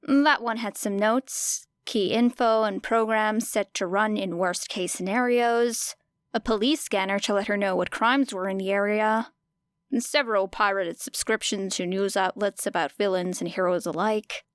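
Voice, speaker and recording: A woman reads scripted fiction as an audiobook narrator. Her voice sits at a medium pitch, and the recording is dry with very little room sound. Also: fairly even intonation, normal speed, smooth, no echo.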